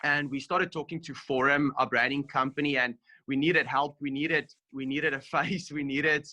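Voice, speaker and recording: A man's voice: on the high side, fairly even intonation, moderate pace, bright, forceful tone. A young South African man, a rugby player and food founder, chatting casually over a video call with many ums, just off a gym session. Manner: friendly, loose, many ums.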